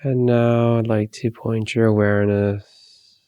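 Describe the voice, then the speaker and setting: Male meditation guide: low, even delivery, soothing and very unhurried, close dry recording. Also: clean tone, no echo, muffled recording. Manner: calm, soothing, unhurried instruction with long pauses.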